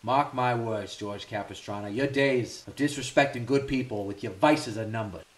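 An actor playing a stern, lecturing federal agent in an old-time radio drama. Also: male voice, mid-range voice, moderate pace, lightly acted. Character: righteous federal agent, grown man.

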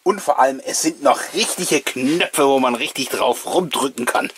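A man's voice: medium pitch, normal speed, background noise. A man, giving chatty, playful, nostalgic commentary as the host of a casual video show. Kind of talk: casual, jokey commentary on an old mail-order catalogue.

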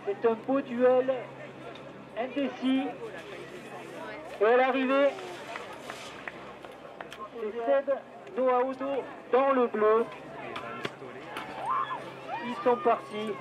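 Announcer: high-pitched man calling ski duels live, steady monotone delivery, muffled sound over spectator noise. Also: extremely slow, pauses often, crowd noise. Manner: announces racers, lanes and time gaps, with fillers. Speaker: race announcer. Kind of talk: live race commentary over loudspeaker. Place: outdoor ski slope. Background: spectators on the slope.

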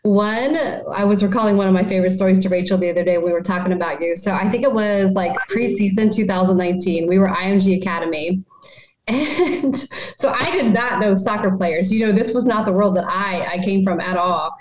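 A woman in her thirties hosting a podcast, telling stories in an animated, giggly way, recorded at home on a headset. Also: mid-range voice, brisk, a crowd in the background. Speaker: podcast host. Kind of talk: casual storytelling chat. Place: living room at home. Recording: slightly dull, roomy home recording.

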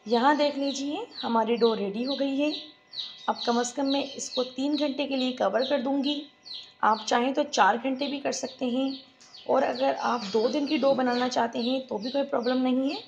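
A woman, hosting a home cooking tutorial and calmly giving the viewer step-by-step instructions. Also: a little high, background noise. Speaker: cooking channel host. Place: home kitchen.

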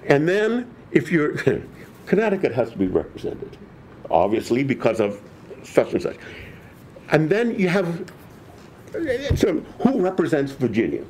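An older man, a professor guest, explaining history in a halting, restarting way full of false starts. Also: on the high side. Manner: halting, restarts often, animated. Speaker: political science professor guest. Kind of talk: conversational history discussion.

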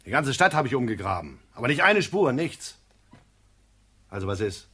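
An actor playing a weary, gruff police lieutenant in a radio crime drama. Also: male voice, mid-range voice, very animated, normal speed, a bit bright. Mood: weary, grumbling.

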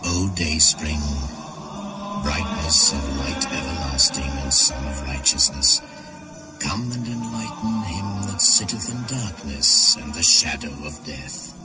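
A man's voice: rumbling low, full character voice. An actor playing an ancient pagan shaman spirit, proud and brooding, in a solemn incantatory radio play monologue. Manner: incantatory, solemn, poetic delivery. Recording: 1980s radio drama with music behind.

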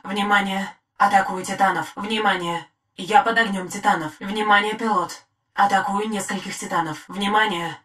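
Composed game war-machine AI played by a woman, female, medium pitch, crisp scripted battle reports. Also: a bit bright, close to an everyday voice. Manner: crisp, formal military reporting. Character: female combat robot titan AI, loyal, composed. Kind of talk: short scripted combat callouts.

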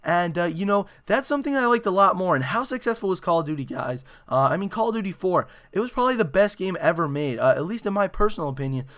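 A young man with a hoarse, raspy voice, giving excitable gameplay commentary full of "you know" and "uh". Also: sits high, quick pace, few pauses. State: hoarse after a concert. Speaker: gaming channel host. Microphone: desktop microphone.

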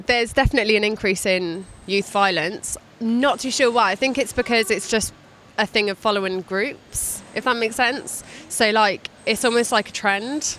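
A woman's voice: medium pitch, normal speed, few pauses, a bit bright, a little hiss or hum. A young woman from Wales answers casually and a little hesitantly into a handheld mic on a city street. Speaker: interviewee. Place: busy city street outdoors.

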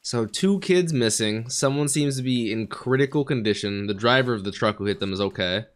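Brief: young American-born male streamer, hoarse from illness, chatty and giddy, close boom mic in a small bedroom studio. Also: fairly quick. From United States. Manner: excitable, chatty, laughing often. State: raspy, sick voice. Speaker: anime reaction streamer. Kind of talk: casual anime reaction commentary. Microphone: studio mic on boom arm, headphones.

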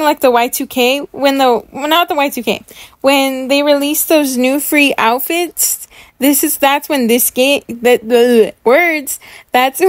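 A high-voiced woman chats her way through a game unboxing for her viewers. She is enthusiastic and gushing, and the recording is close and dry. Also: no echo. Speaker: gaming YouTuber. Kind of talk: chatty gameplay unboxing commentary.

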